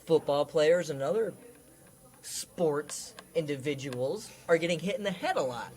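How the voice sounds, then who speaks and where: A man's voice: fairly high pitch, unhurried, a little mellow. A man chatting casually as a student contestant on a comedy panel game. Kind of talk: casual comic banter.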